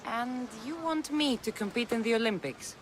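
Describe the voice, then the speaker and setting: A woman's voice: on the high side, moderate pace, noisy background, lightly acted. A woman playing a tough, wry Greek mercenary in a video game, with a dry, sardonic way of talking. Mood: wry, skeptical. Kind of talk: video game character acting.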